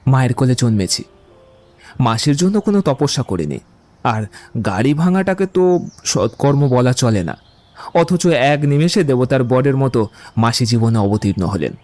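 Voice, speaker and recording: A man playing a witty, romantic young gentleman in a Bengali audio drama, eloquent, playful and courteous in a theatrical way. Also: mid-range voice, animated, moderate pace, long pauses, dry recording, lightly acted. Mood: mock-philosophical.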